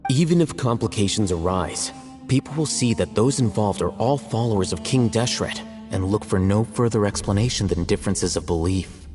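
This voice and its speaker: A composed, analytical male scholar from a game, as a man voices him, laying out his reasoning in a calm, measured, lecturing way. His voice sits fairly low. It carries a long reverb tail, with soft game music underneath. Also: lightly acted. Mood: explaining. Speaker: academy scribe. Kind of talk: game cutscene voice acting.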